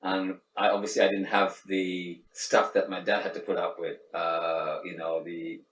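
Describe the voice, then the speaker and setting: A man's voice: deep voice, level tone, moderate pace, a bit bright. A middle-aged man from Sri Lanka, talking in a reflective, casual interview with many restarts and fillers. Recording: echoey room, faint background noise, mic at a distance.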